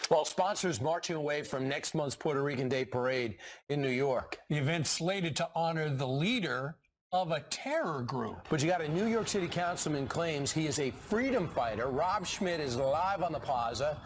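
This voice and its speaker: Man, TV co-host, studio, jokey and upbeat, slightly high voice, music bed. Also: lively intonation, normal speed. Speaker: morning show co-host. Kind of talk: casual studio banter and news reading.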